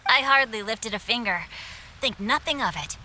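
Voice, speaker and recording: A man's voice: high voice, lively intonation, moderate pace, sharp tone, a little hiss or hum, exaggerated voice, low-quality recording. A voice actor playing a refined, gallant young nobleman in a video game, with formal, courtly phrasing.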